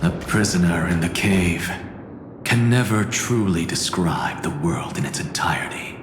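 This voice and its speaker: A man's voice: low-pitched, expressive, unhurried, noisy background. A man acting a grave, solemn mythic narrator in a fantasy game, speaking with weighty, portentous delivery. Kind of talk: dramatic scripted game voice acting. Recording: roomy echo, music behind.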